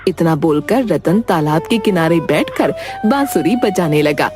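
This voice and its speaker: Animated woman storyteller over music. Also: fairly low pitch, a little slow. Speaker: narrator. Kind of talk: scripted children's story narration.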